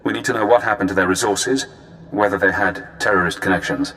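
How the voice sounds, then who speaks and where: An actor playing a cool, cunning spy handler in a video game, speaking in measured, cerebral, clipped phrases. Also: male voice, on the low side, fairly bright tone, close to an everyday voice. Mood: calculating.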